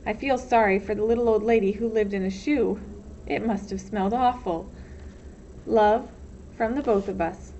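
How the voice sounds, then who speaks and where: A woman's voice: mid-range voice, normal speed, warm tone, lightly acted. A woman reading as an affectionate, hopeful mother in a storybook, tender and encouraging. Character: affectionate, hopeful mother writing to her son. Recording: dull lo-fi sound, faint noise.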